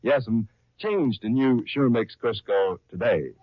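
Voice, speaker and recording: A man's voice: medium pitch, slow, soft background music. A man working as a radio announcer and narrator in 1930s style, reading from a script with theatrical emphasis. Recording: dull, lo-fi 1930s radio recording.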